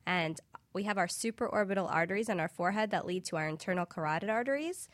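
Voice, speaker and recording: A woman's voice: medium pitch, lively intonation, fast, no room sound. A young woman giving matter-of-fact, informative answers as an interview guest explaining a health screening. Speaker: guest, infrared screening practitioner.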